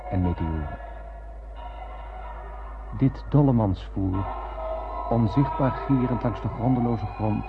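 An actor playing a spaceman who tells of his voyage in a poetic, storytelling way in a radio play. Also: lowish voice. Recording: dull, noisy radio play recording.

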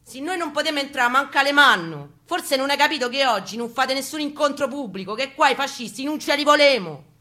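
Woman playing a male Roman militant, raised pitch, loud shouting, sarcastic, defiant, working-class, story reading. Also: expressive, fairly quick, few pauses. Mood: mocking anger.

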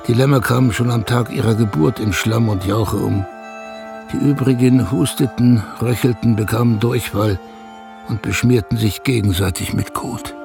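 An actor playing a weary, bitter male shepherd who gravely recounts hardship in a radio drama. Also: medium pitch, lightly acted. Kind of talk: radio drama first-person narration. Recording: music under the voice.

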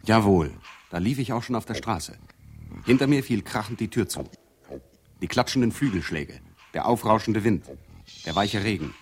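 Man as haunted radio-play narrator, low pitch, swinging intonation, incantatory literary delivery, music behind. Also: expressive, moderate pace, background noise, natural voice. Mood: breathless intensity. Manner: literary, incantatory listing of images. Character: haunted male first-person narrator searching for a doctor.

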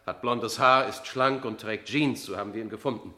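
An actor in a seventies radio play, playing a brisk official in charge of an identification. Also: male voice, fairly low pitch, sharp tone. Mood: businesslike. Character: brisk official presiding over identification of a lost youth. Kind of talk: 1970s German radio play acting. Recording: echoey room with crowd.

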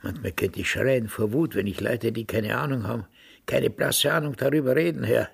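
Gravelly, smug old Austrian man. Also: mid-range voice, normal speed, exaggerated voice. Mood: indignant. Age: older, past retirement. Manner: smug, rambling. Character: self-satisfied old ex-Nazi, retired Austrian police major. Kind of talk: radio drama acting, reminiscing.

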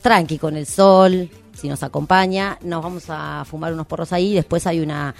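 A woman's voice: sits low, steady tone, normal speed, gravelly. A woman with a Rioplatense Argentine accent hosting a casual radio show, speaking in an informal, slangy way. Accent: Rioplatense Argentine Spanish. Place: community radio studio. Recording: music behind the voice. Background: music.

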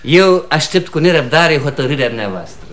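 An actor playing a polite, forthright young suitor in a radio comedy, earnest and courteous in manner. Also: male voice, on the high side, animated, a bit bright. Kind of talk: theatrical radio comedy acting.

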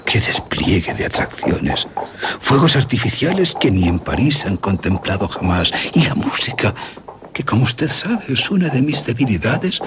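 A man's voice: medium pitch, background noise. An older man with a Castilian Spanish accent playing a grieving aristocratic general who recounts his tragedy in florid radio drama style. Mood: rapturous. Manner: florid, theatrical, dramatic storytelling. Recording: music under the voice.

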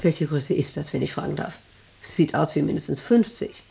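An actress playing a domineering, rambling factory director in a radio drama, speaking in a condescending, probing way with abrupt commands. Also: female voice, low-pitched, moderate pace, gravelly, warm tone. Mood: commanding, restless. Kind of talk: German radio drama acting.

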